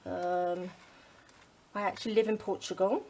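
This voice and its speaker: A middle-aged woman from the UK, chatting casually to her craft channel, rambling with many ums and dropping to a soft near-whisper as she works. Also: mid-range voice, steady tone, a little slow, slight background noise. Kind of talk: casual chat while crafting.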